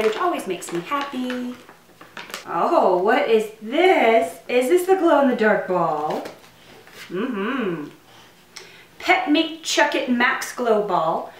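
A young woman with a high, very animated voice chats casually as a vlog host. She slips into playful pet talk. The sound is roomy, picked up from a little way off in a living room. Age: young adult. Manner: playful, cooing to pets. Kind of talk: casual pet unboxing vlog, talking to camera and to pets. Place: living room at home, indoors. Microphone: camera a few feet away. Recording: roomy, distant mic, faint noise.

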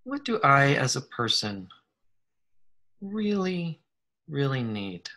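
Man, meditation leader, very slow delivery, gentle, short phrases, long pauses, soothing instruction. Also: medium pitch, very animated. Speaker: guided meditation leader, psychologist.

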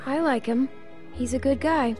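A woman voicing an earnest, guarded young girl survivor in a video game drama, with a husky tone. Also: high-pitched, moderate pace, a little mellow, faint music behind, close to an everyday voice.

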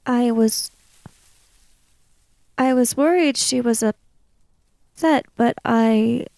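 A woman improvising in-character dialogue in a tabletop roleplaying game, in measured, formal phrases with pauses. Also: a little high, vocal fry, a little mellow, no echo.

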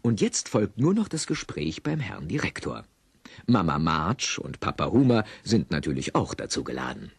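A man narrating an audio play with expressive storytelling and a husky voice. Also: low voice, normal speed.

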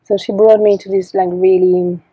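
A woman in her thirties from Belgium, a podcast guest talking reflectively on an outdoor porch, with many pauses and fillers. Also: mid-range voice, flat delivery, husky, no room sound, lo-fi sound. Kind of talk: casual interview talk. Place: covered porch outdoors in the tropics.

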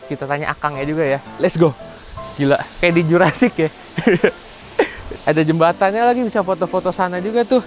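A man's voice: on the high side, brisk, faint music behind. Chatty young man vlogging outdoors. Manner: chatty, upbeat, addresses viewers as guys. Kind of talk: casual travel vlog narration. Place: outdoors at a waterfall resort.

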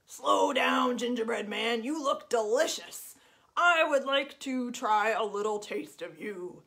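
A greedy farmyard pig, as a woman puts it on, oinking and coaxing, with slow, drawn-out lines. The voice stays close to her own high, lively tone. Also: creaky, full character voice.

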